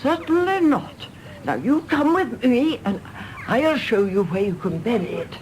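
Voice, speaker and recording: Radio drama role: an exclamatory elderly British mother, highish and very animated, possessive and fussy. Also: a little slow, slight background noise, low-quality recording. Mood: brisk, fussy. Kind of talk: British radio play acting.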